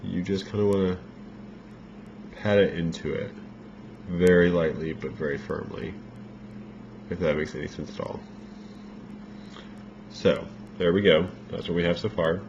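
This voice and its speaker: Man, very low voice, makeup tutorial, rambling casual instructions, slightly worn voice, dull lo-fi sound. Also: a little slow, pauses often. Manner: relaxed, rambling instructions with fillers. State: losing his voice. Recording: dull lo-fi home recording with faint noise.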